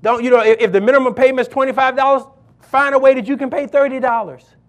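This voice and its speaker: High-voiced male pastor, emphatic preaching. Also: steady tone, quick pace. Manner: emphatic, repeats phrases, exhorting congregation. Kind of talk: sermon.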